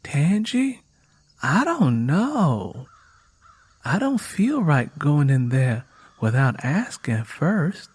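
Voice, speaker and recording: A kind, weary Southern country woman played by a man in an audiobook. The voice is husky, cautious and practical, speaking plain rural dialect. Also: on the high side, sing-song, extremely slow, darkish tone, dry recording, exaggerated voice. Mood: hesitant. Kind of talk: audiobook character voice.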